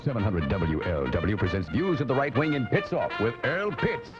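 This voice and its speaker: A man's voice: on the low side, lively intonation, normal speed, natural voice. A man playing a pompous, solemn call-in announcer with formal, grandiose, mock-serious radio delivery. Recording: muffled off-air cassette, music behind.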